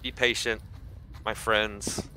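A man's voice: on the low side, little pitch movement, takes it slowly. A man giving casual game commentary as host of a gaming video, with game ambience behind him. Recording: clear background noise.

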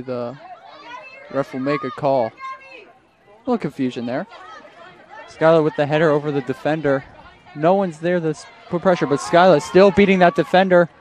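A man's voice: fairly high pitch, darkish tone. A young man calls a college soccer match from an outdoor stadium at night. His voice sounds strained, and he lifts his energy on every attack while often correcting himself. A faint crowd murmur sits behind him. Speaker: play-by-play commentator. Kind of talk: live soccer commentary. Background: crowd noise.

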